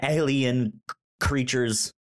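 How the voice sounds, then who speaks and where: A man's voice: medium pitch, extremely slow. A man hosting a casual podcast chat about manga, often stammering and stumbling over his words. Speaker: podcast host.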